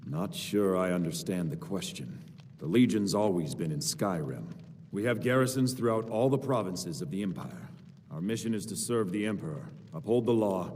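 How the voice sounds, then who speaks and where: An actor playing a dutiful, confident legion soldier in a fantasy game, speaking with formal assurance. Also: male voice, deep voice, lively intonation, normal speed, darkish tone. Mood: assured. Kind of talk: video game character dialogue. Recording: distant, long hall reverb, music behind.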